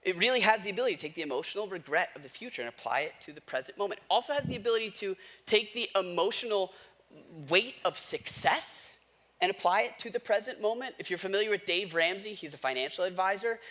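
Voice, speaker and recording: A man's voice: sits high, lively intonation, fast talker, sharp tone. An American man in his thirties preaching a conversational sermon, telling personal stories in everyday slang and posing rhetorical questions. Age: 30s. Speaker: pastor preaching.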